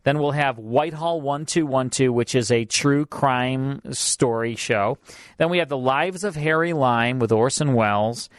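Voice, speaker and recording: A man's voice: mid-range voice, a little slow, dry recording. Male radio host, mid-range voice, loose chatty delivery, dry close studio mic. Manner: chatty, repeats himself, fillers. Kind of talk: casual radio chat and promo read.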